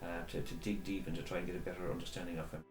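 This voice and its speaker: A man's voice: low-pitched, level tone, rapid-fire, soft background music. An Irish man speaking as a historian in an interview, reflective and often rephrasing himself as he goes. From Ireland. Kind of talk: interview commentary. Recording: echoey room.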